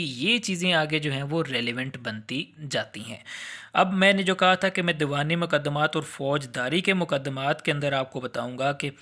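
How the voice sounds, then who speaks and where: A man's voice: on the high side, bright, forceful tone. A man with a Pakistani accent giving an informal explanatory law lecture, mixing English legal terms into his explanations.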